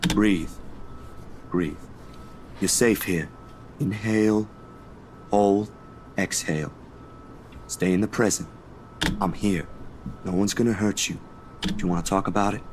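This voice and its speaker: A man playing a steady, protective friend in a video game, reassuring and firm, in short phrases. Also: lowish voice, a little slow, pauses often, noisy background, close to an everyday voice. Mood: soothing.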